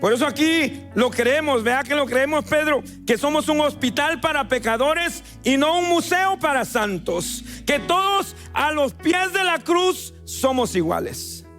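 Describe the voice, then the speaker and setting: A man's voice: very high-pitched, expressive, fairly bright tone, faint music behind. A man with a Guatemalan accent preaching a sermon as a pastor, stretching out words for emphasis. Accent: Guatemalan Spanish. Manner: preaching with emphatic drawn-out stresses. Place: church sanctuary.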